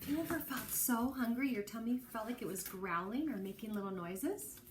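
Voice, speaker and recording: A woman teacher reading a children's storybook aloud expressively, pausing to explain things and put questions to the listener. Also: fairly high pitch, animated, a little hiss or hum. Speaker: early learning teacher.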